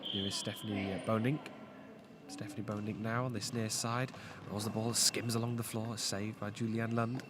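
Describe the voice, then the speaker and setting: A man doing live play-by-play commentary on a goalball match, calling the action in quick bursts. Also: lowish voice, level tone, heavy background noise. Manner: calls the action in quick bursts, rising on big moments.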